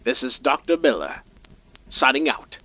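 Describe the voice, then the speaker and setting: A man playing a coldly ambitious scientist who dictates an audio log in a pompous, deliberate manner. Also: mid-range voice, sing-song, sharp tone, highs cut off, a little hiss or hum. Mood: smug, scheming. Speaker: doctor. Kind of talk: audio diary monologue, game-style acting.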